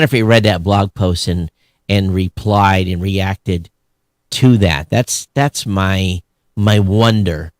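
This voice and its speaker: A man co-hosting a podcast in casual, opinionated chat, animated and sarcastic, often halting and breaking off mid-phrase. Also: low-pitched, no room sound, clean studio sound. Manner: animated, sarcastic griping with mock quoted voices. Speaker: podcast co-host.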